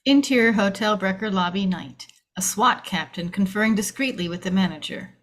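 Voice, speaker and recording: A woman narrates a screenplay at a table read. Her voice is medium in pitch as she reads out scene headings and action lines. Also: moderate pace.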